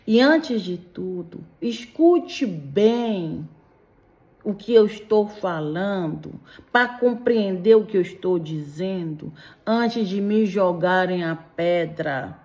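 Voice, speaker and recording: Slow-talking, low-pitched middle-aged woman. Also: animated, darkish tone. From Belgium. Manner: emphatic, admonishing, with frequent tag questions. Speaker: vlogger giving warnings to Brazilian migrants. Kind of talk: direct-to-audience opinion monologue.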